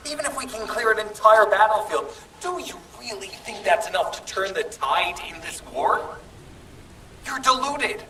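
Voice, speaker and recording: A menacing, embittered traitor soldier from a video game cutscene. He talks slowly and ominously with a taunting edge. The voice rings with echo, far from the mic, over noise. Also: high voice, bright, forceful tone, heavily acted. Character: bitter male traitor soldier, menacing, obsessed with human strength. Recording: echoey, distant, noisy game audio.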